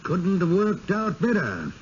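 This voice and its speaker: An actor playing an evasive, smoothly persuasive small-town mayor in a 1970s radio drama, courtly and effusive. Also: male voice, high-pitched, wildly swooping pitch, unhurried, full character voice. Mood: genial, evasive. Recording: muffled, hissy 1970s radio broadcast.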